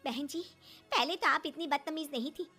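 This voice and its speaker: An affronted middle-aged Indian mother acted by a woman narrator, speaking curtly in a high voice. She is a bride's offended mother in a domestic story, with faint music. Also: moderate pace, pushed and bright. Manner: affronted, curt.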